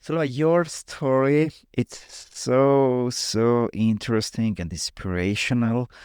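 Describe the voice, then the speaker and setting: A male podcast host whose first language is Armenian, asking questions haltingly and repeating words as he goes. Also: medium pitch, fairly even intonation, darkish tone, clear, resonant tone, dry recording, clean studio sound. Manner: halting, repeats words while asking, non-native grammar. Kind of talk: interview.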